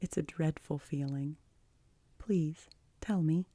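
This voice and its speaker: A woman voicing an imploring, imaginative orphan girl in an audiobook, earnest, wistful and breathy. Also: low voice, expressive, measured pace, dark tone, no echo, heavily acted. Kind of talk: audiobook character voice.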